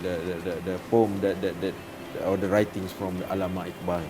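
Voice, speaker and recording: Halting Malaysian man in a noisy interview. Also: low-pitched, flat delivery. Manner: halting, many repeated words and fillers. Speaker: interview guest, diplomat. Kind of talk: casual interview talk.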